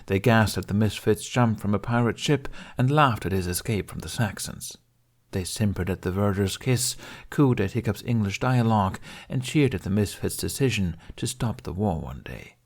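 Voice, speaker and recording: Low, gravelly male audiobook narrator. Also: animated, moderate pace. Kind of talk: scripted story narration.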